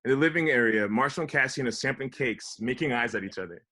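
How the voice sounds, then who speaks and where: A man's voice: mid-range voice, lively intonation, fast, pushed and bright. A man reading stage directions as scripted narration for a comedy table read.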